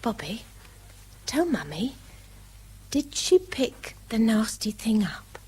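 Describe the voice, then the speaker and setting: An actress with a British accent playing a protective working-class mother in a radio drama, deferential, soothing and very breathy. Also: on the high side, big pitch swings, slow, darkish tone, exaggerated voice, rough recording. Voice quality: breathy, husky.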